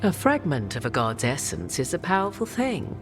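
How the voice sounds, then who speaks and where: A proud, cryptic sorceress who speaks in old-fashioned, measured phrases. Her voice rises and falls widely as she lets out her secrets one at a time. She sounds aloof and sure of herself. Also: low voice, wildly swooping pitch, over music. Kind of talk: video game cutscene acting.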